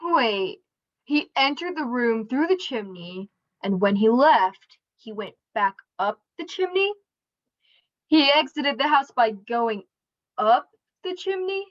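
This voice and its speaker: A woman's voice: high-pitched, big pitch swings, takes it slowly, heavily acted. A young woman acting in a radio play as an earnest, incredulous interviewer questioning a witness, over a video call. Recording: dull video call audio.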